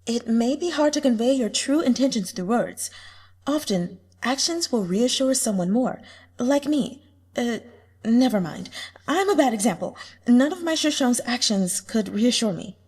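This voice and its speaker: A woman's voice: a little high, lively intonation, unhurried, a little mellow, husky, big-room sound, voice pushed up. A woman voicing a kind, heartsore young female cultivator, considerate and hesitant, in a fantasy audiobook. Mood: considerate, rueful. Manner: hesitant, considerate, self-deprecating.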